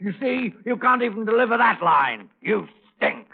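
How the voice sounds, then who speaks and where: A man's voice: sits high, lively intonation, normal speed, gravelly, husky, exaggerated voice. A man playing a contemptuous fat theater man in a radio thriller, blunt and melodramatic. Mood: scornful. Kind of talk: old radio thriller acting. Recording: muffled old radio recording.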